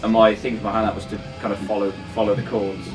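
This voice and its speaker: A man, a band guitarist, chatting casually about his album in a halting way, repeating words as he goes. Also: lowish voice, flat delivery. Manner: hesitant, repeats words, chuckles often. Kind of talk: casual chat. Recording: distant mic, guitar music behind. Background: band's album track playing.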